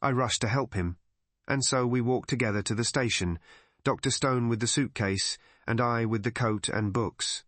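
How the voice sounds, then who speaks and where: A man narrates a story in scripted audiobook fashion. His voice sits at a medium pitch, and the recording is dry with no room around it. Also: normal speed, a bit bright, dry recording.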